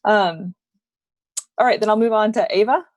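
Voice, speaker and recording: A woman moderating a virtual panel in a brisk, conversational way over a video call. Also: mid-range voice, darkish tone, highs cut off.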